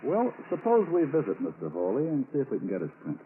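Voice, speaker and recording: An actor playing a cautious, courteous, shrewd federal agent with brisk businesslike questioning, in 1940s radio style. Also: male voice, mid-range voice, wildly swooping pitch. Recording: noisy tinny 1940s radio recording.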